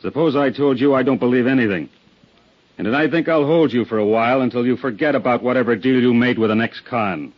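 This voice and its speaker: An actor playing a gruff, suspicious police sergeant in 1950s radio drama, blunt, sarcastic and pressing. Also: male voice, medium pitch, quick pace, natural voice. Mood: hard, sarcastic. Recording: dull 1950s radio recording.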